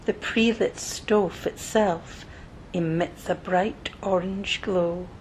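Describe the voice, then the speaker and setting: A woman narrating a scripted guided meditation in a soothing, measured manner, pausing between short phrases, with soft breathy phrase endings. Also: fairly even intonation, low-quality recording. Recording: noticeable low rumbling background noise.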